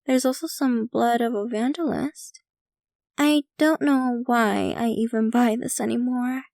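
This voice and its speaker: Woman doing a gushy yandere vampire gf, asmr style, high voice, super close dry sound, doting and possessive. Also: fairly even intonation, unhurried, gravelly, darkish tone, no room sound. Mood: playful, indulgent. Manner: theatrical, doting, gushing. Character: possessive, rich, devoted yandere vampire girlfriend. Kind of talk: ASMR roleplay, scripted intimate monologue to listener.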